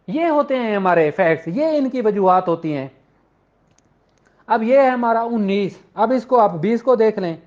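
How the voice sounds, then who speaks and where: Male law lecturer, Pakistani Punjabi accent, high-pitched, conversational and explanatory, dull recording. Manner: conversational, poses rhetorical questions, switches into English legal terms. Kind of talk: informal explanatory lecture with examples, reading out statute slowly.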